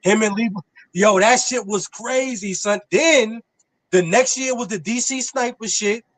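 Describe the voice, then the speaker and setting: A man's voice: high voice, unhurried, fairly bright tone, no echo. A man in his late thirties, co-hosting a casual chat, who talks in profane slang, repeats words and keeps saying yo. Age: 30s. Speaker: podcast co-host.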